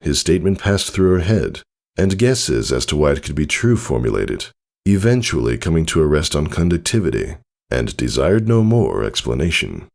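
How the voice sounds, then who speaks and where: Male story narrator: low, gravelly register, expressive dramatic reading. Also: moderate pace. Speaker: audiobook narrator. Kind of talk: scripted narration of fan fiction, dramatic storytelling.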